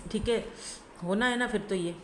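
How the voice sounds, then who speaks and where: A woman giving a casual tarot reading, hoarse from a sore throat and flu, chatty and often checking in with her listener while mixing in English words. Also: mid-range voice, normal speed, a little hiss or hum. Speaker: tarot reader. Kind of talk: casual pick-a-card reading to camera. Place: indoors at home by a window. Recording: echoey room.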